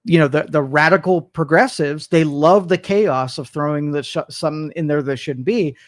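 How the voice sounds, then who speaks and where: Guy, high voice, rambling political rant on a studio talk show, lots of restarts. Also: normal speed. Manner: rambling, argumentative, many restarts and mock quotes.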